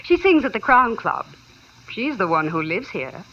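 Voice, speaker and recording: Bright, lilting, sassy receptionist. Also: female voice, mid-range voice, big pitch swings. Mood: teasing. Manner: brisk, knowing, teasing. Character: breezy, teasing female city receptionist. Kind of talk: 1950s radio drama acting. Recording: muffled, noisy vintage radio broadcast.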